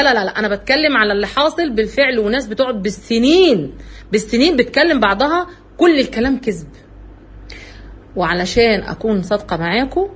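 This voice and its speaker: A woman with an Egyptian Arabic accent giving an earnest religious talk, telling her own story in an animated way. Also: fairly high pitch, slightly rough. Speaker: religious speaker.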